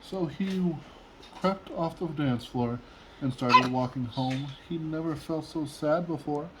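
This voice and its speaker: A man's voice: on the high side, slow pace. Man reading a rhyming storybook, faint noise. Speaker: father reading to child. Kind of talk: storybook read-aloud in rhyme.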